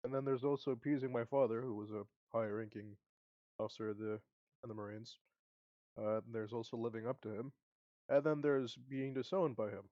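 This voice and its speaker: An amiable but awkward former Marine turned mercenary, acted by a man at a game table, talks haltingly in an everyday conversational voice. The pitch is middling, and the recording is close, dry and a little dull. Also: fairly even intonation, dry recording, natural voice. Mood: rueful, earnest. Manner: hesitant, conversational.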